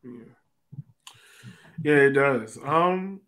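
A man's voice: a little high, extremely slow, fairly bright tone. A man hosting a casual sports podcast, chatting with his panel over a video call. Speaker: podcast host. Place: indoor room on a video call.

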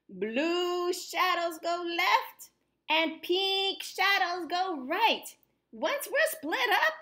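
A woman reads a children's picture book aloud in a high voice with very lively, swooping intonation. She phrases the rhymes deliberately and dramatically, leaning on key words as a storyteller does for young listeners. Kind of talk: expressive children's read-aloud of rhyming text.

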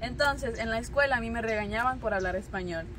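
A blunt, emphatic woman with a fairly high voice, chatting among friends outdoors. Spanish is her first language and English words slip in. Clear background noise runs under her. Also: fast. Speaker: departing friend. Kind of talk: casual outdoor group chat. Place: outdoors in a busy park lot.

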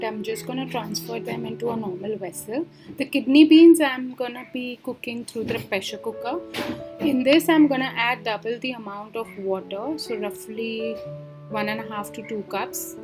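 A young woman with a fairly high voice walks through home meal prep step by step, calm and plain. She talks as a voice-over, and clear background music plays under her. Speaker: cooking vlogger. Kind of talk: instructional cooking voice-over. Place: home kitchen, indoors.

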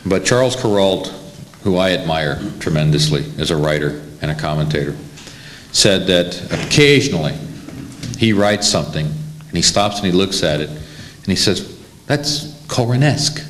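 A man's voice: low voice, expressive, normal speed. A middle-aged American man moderating a live panel, speaking emphatically. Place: small hall stage at a panel table. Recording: slightly noisy amateur video sound.

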